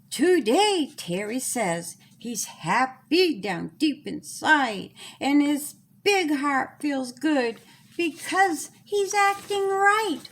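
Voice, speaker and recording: A woman reading her own rhyming children's story in a deliberate, singsong storytelling manner. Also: sits high, slight background noise.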